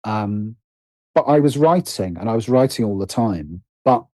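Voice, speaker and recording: A man's voice: fairly low pitch, expressive, normal speed, dark tone, no echo. A British man telling personal stories conversationally as an interview guest, hesitant and often interrupting himself as he thinks aloud.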